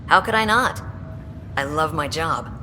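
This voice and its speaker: A man's voice: fairly high pitch, expressive, unhurried, pushed and bright, full character voice. A man playing an eager, proud explorer who loves the job, in a video game. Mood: wistful, eager. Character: enthusiastic explorer, proud and wistful. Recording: reverberant hall with clear noise.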